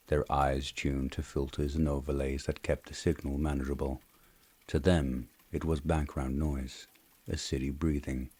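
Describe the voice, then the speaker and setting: A man narrates a novel in an extremely deep voice with a husky grain. It is a scripted audiobook read, recorded dry without any room sound. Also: a little mellow, dry recording.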